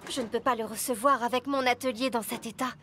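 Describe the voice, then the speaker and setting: A woman's voice: on the high side, fairly even intonation, quick pace, heavy background noise, lightly acted. A woman voicing a friendly, orderly mechanic truck in a children's cartoon, explaining and exclaiming. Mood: instructive. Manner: instructive, exclamatory.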